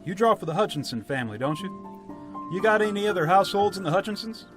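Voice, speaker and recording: A man plays a country village official running a solemn gathering in a radio drama. His voice sits a little high and moves up and down freely. He is brisk, orderly and insistent, with folksy phrasing. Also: animated, fairly bright tone, soft background music. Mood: brisk, rallying. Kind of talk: radio play acting.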